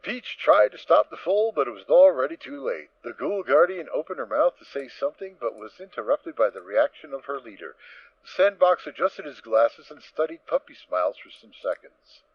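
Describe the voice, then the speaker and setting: A man's voice: fairly high pitch, big pitch swings, normal speed, no echo, highs cut off. A man reading scripted story narration for an amateur audio drama.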